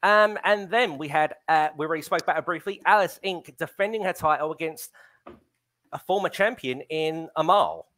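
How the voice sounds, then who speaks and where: Higher-voiced man hosting a wrestling stream. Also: moderate pace, a bit bright. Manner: reads viewer comments, many ums. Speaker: wrestling show host. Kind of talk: casual live-stream commentary chat. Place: home room on a video call. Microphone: headset.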